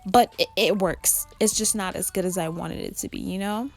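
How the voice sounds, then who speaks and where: A young woman with a raspy, just-woken voice, rambling and self-critical as she narrates a vlog into her phone. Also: mid-range voice, moderate pace, soft background music. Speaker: nail art vlogger. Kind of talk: casual voice-over narration.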